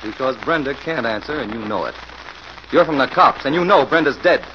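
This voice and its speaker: A slightly noisy, dulled old radio recording. a ruthless male hoodlum with a medium-pitched voice, firing off curt underworld slang in period crime-serial style. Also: lively intonation, fairly quick, bright, forceful tone. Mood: suspicious, hard. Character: ruthless, slangy male gangster gunman Smoky. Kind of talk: 1940s radio acting. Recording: muffled old radio recording, light noise.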